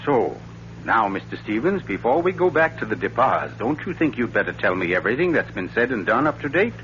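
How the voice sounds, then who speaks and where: An actor playing a suave, wry writer-sleuth with a pompous, deliberate and teasing delivery in a 1940s radio thriller. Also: male voice, mid-range voice, big pitch swings, a bit bright. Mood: triumphant, accusing. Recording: dull, noisy, humming 1940s radio recording.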